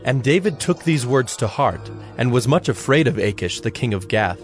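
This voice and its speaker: A man's voice: medium pitch, expressive, normal speed, soft background music. A man narrating a dramatized Bible story from a script.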